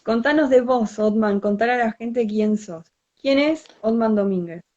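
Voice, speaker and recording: A young woman with a Rioplatense Argentine accent hosting a casual live-stream interview, informal and quick to laugh. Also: on the high side, mellow, muffled recording. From Buenos Aires province, Argentina. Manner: friendly, laughs often, informal. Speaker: interviewer, host. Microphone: video call.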